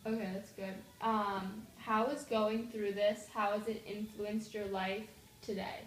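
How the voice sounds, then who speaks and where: A woman's voice: medium pitch, flat delivery, measured pace, echoey room, recorded from a distance, a little hiss or hum, low-quality recording. A young woman interviewing an older relative, asking tentative, casual questions.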